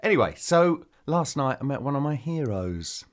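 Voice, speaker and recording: A man in his forties, a podcast co-host, telling an animated story in casual chat and acting out what other people said. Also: medium pitch, moderate pace.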